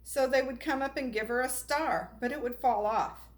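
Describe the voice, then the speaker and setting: A woman reading a children's storybook aloud in an expressive, unhurried way. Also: sits high. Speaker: storybook reader.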